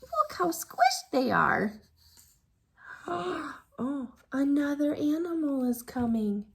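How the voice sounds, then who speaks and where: Slow, high-pitched woman reading a storybook. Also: gravelly. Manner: expressive, drops to hushed excited asides with audible gasps. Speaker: teacher reading a storybook to children. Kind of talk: animated read-aloud storytime with asides to young listeners.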